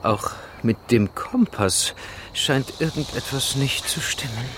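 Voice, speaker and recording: An actor playing a stubborn, pompous gentleman adventurer, self-assured and clipped until he stammers, in an audio drama. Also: mid-range voice, slow pace, very noisy, natural voice. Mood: weary, rueful. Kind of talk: musical audio drama acting. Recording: music under the voice.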